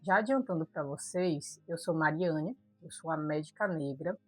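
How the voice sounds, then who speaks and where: A woman's voice: low voice, normal speed, a little mellow, slightly rough, dry recording, faint music behind. A young Bahian woman, a doctor, telling her life story in an earnest, conversational vlog and talking straight to her viewers. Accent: Bahian Brazilian Portuguese. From Brazil, Salvador, Bahia. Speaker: doctor vlogger.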